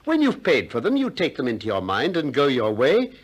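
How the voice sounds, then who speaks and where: Ironic old-school radio philosopher, probing questions, very animated pitch, deliberate. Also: male voice, breathy edge. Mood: probing, cautioning. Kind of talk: 1960s British radio play acting.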